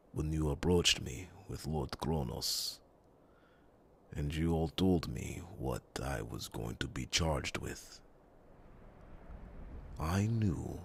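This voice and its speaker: A man playing a loyal, earnest captain of a royal guard in a fantasy ASMR roleplay, speaking formally and reassuringly in a breathy voice with dramatic pauses. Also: a bass voice, slight background noise, full character voice. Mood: gentle reassurance.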